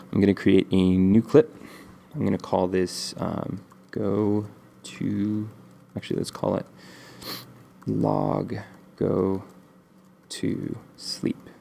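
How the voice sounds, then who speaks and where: Man, very low gravelly voice, congested from a cold; easygoing, rambling tutorial host. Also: a little hiss or hum. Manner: relaxed, rambling with many ums. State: stuffy from a cold. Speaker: game development tutorial host. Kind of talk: casual screen-recorded coding tutorial.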